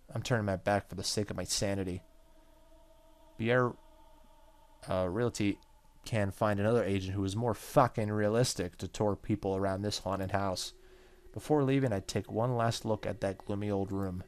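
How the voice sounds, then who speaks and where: A man hosting a gaming video, reading the story aloud and dropping in casual reactions. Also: fairly low pitch.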